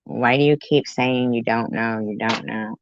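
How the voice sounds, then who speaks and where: Slow, blunt woman commenting. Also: rumbling low, steady tone, a little hiss or hum. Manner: blunt, sarcastic, drawn-out remarks. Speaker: commentary YouTuber. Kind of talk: reaction commentary. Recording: dry, muffled lo-fi sound.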